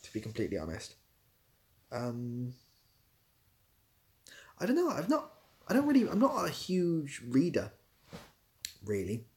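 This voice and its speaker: A man's voice: mid-range voice, wildly swooping pitch, unhurried, pauses often, darkish tone. A young man hosting a casual livestream, reading out comments and giving dry, self-deprecating, rambling answers. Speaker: livestream host.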